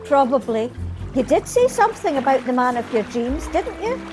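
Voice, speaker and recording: A woman as a high-pitched, excitable Scottish flower seller. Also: moderate pace, over music. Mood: nosy, teasing. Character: excitable, chatty female beach flower-garland seller. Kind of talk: amateur radio play acting.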